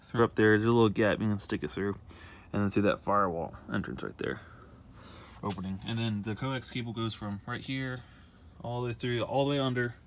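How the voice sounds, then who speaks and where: A man's voice: fairly low pitch, a little fast, a little hiss or hum. A young man talking in a casual, unscripted how-to vlog, rambling and repeating himself in a conversational way. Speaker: amateur video maker showing a car project.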